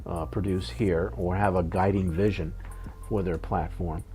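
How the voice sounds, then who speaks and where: A middle-aged man giving thoughtful interview answers in a measured, halting way, often correcting himself. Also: low voice, steady tone, warm tone, soft background music.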